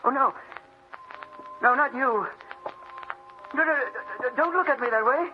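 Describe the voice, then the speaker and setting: An actor playing a kindly old doctor who talks hesitantly and repeats himself, in old-time radio drama style. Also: male voice, very high-pitched, a little slow, soft background music. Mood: terrified, pleading. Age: older. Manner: muttering to himself, hesitant, repetitive. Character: kindly old male doctor, absent-minded, turning terrified. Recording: noisy, muffled old radio recording.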